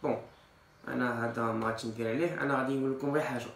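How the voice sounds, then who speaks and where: A man's voice: mid-range voice, steady tone, racing, vocal fry, echoey room. A man in his twenties with an Algerian Arabic accent gives casual fitness advice to camera, mixing in French loanwords, recorded in a tiled room. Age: 20s. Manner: informal, mixes in French loanwords. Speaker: fitness vlogger. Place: small tiled kitchen, indoors.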